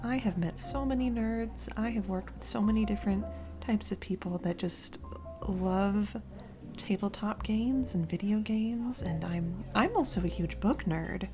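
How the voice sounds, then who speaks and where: A woman playing a warm single mom on a first date in a romantic audio roleplay, speaking hesitantly and encouragingly with soft giggles. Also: medium pitch, unhurried, lightly acted. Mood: shyly playful. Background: background music, restaurant date ambiance.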